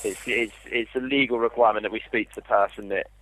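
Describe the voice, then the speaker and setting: A man's voice: lowish voice, fairly even intonation, quick pace, fairly bright tone. A man working as an insurance call handler, patiently explaining things over a tinny phone line. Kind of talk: customer service phone conversation.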